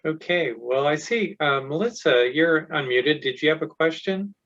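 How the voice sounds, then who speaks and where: A man's voice: on the high side, expressive, normal speed, fairly bright tone. A man moderating a webinar on a video call, friendly with hesitant fillers. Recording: dull video call audio.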